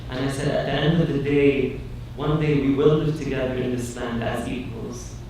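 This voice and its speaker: Young man, earnest public speaker, personal storytelling, very echoey hall, clear background noise. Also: medium pitch, fairly even intonation, moderate pace, a little mellow. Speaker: keynote speaker, academic. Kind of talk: personal storytelling speech to an audience. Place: large indoor meeting hall at a conference. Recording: echoey hall, far from mic, noisy.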